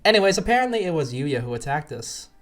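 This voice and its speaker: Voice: medium pitch, big pitch swings, fast, soft background music. A reviewer giving casual, slangy video commentary on a game story, sarcastic and exasperated as they rant.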